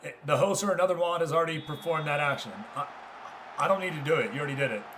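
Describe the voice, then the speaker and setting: A man's voice: a little high, racing, a bit bright, a little hiss or hum. A man hosting a livestream, giving casual game commentary and chatting informally with viewers. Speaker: livestream host.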